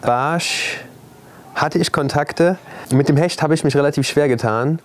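A man's voice: on the high side, a little slow. A young man from Germany answering interview questions fluently in a matter-of-fact way. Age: young adult. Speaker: competitor. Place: outdoor patio. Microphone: lapel.

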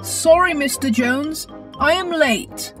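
A performer voicing a friendly, coy robot pupil in an educational children's cartoon, with deliberate phrasing. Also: high-pitched, fairly bright tone, soft background music.

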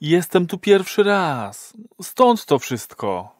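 A man's voice: high-pitched, sing-song, slow pace, no room sound, studio quality, heavily acted. An actor playing a nervous, awkward first-time male patient in an audio drama, hesitant and sheepish. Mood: uneasy.

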